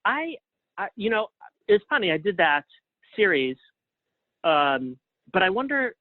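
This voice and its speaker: Phone-line man, thoughtful, fairly high voice. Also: big pitch swings, measured pace, no room sound. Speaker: interview guest, playwright and artist. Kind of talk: casual conversational interview. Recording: narrow tinny phone-like line.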